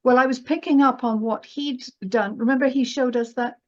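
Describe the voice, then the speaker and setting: A woman with a fairly high voice talks as an expert guest in a conversational discussion. She makes her points emphatically and keeps checking that she is being followed. The audio is slightly dull and lo-fi. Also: steady tone, normal speed. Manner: emphatic, checks in with "right?" and "you know". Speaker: guest astrologer and market analyst. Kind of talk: conversational interview discussion of financial astrology.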